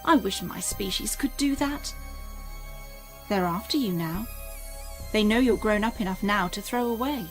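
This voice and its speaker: A woman playing an earnest, secretive alien mother, speaking gravely and deliberately in an audio drama. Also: medium pitch, soft background music, natural voice.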